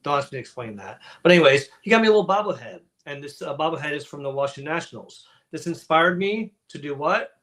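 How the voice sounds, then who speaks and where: A man with a slightly high-set voice hosts a relaxed online livestream. He chats off the cuff in a jokey, loosely rambling way, addressing people directly. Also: fast, few pauses. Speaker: host of an online networking livestream. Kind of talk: casual unscripted chat. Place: indoor room on a video livestream.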